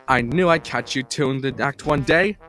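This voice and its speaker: A man's voice: medium pitch, a bit bright, soft background music, lightly acted. Man playing a gloating scheming principal. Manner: smug, drawn-out villainous delivery. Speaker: fan voice actor.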